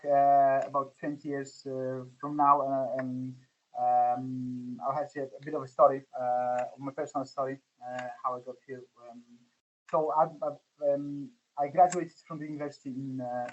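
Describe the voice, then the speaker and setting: Polish man, 40s, founder guest; monotone, hesitant, lo-fi audio. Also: medium pitch, normal speed. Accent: Polish, with some Scottish influence. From Poland. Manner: hesitant, many fillers and restarts. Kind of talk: podcast interview.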